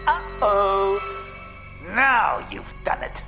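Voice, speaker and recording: An actor playing a flamboyant, gloating mad bomber in a video game, with theatrical, boastful taunts. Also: male voice, high voice, expressive, extremely slow, vocal fry, pushed and bright, long reverb tail, faint music behind, exaggerated voice. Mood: gleeful, gloating. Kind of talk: video game villain acting.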